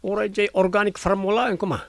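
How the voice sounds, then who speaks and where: A man's voice: high voice, little pitch movement, quick pace, creaky, no echo. An actor with an Ilocano accent playing a jealous, rejected young businessman who pleads and sulks. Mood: pleading. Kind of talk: radio drama acting.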